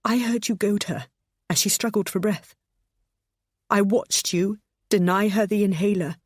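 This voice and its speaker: A woman's voice: mid-range voice, normal speed, slightly rough, no echo, clean studio sound, pitched above the performer's own voice, natural voice. A woman voicing a desperate older mother who sobs and pleads as her voice breaks. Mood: anguished. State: sobbing.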